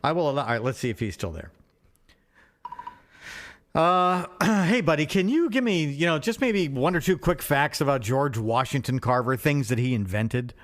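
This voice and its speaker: A man co-hosting a podcast in a casual, comic phone chat, excitable and joking, with casual swearing. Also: a little high, moderate pace. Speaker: podcast co-host.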